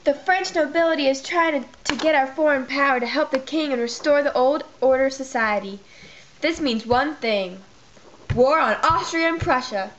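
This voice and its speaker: A woman's voice: high voice, moderate pace, fairly bright tone. A woman acting a bossy, fired-up French revolutionary in an amateur school puppet show. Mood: urgent. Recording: dull, faintly noisy amateur recording.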